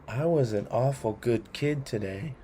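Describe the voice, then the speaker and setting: A man reading funny children's rhymes aloud in a deliberate, theatrical storytelling way. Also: medium pitch, warm tone, a little hiss or hum. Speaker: read-along host.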